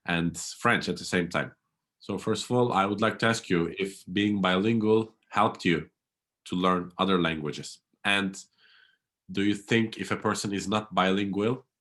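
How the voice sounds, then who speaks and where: A man hosting an interview podcast, asking questions in an earnest, measured way. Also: low-pitched, a bit bright. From Turkey. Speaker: podcast host.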